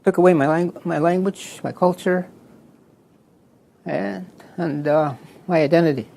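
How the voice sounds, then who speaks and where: A man from Canada speaking slowly and deliberately in an interview, often pausing with fillers. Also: a little high, fairly even intonation, creaky, mellow, a little hiss or hum. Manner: slow, deliberate, many fillers. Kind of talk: interview commentary.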